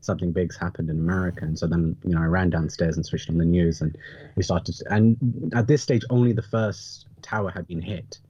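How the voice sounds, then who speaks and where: A man's voice: deep voice, steady tone, brisk, dark tone, slightly rough, no echo, dull audio. A man in his thirties talking reflectively on a podcast, hesitant and rephrasing himself as he thinks.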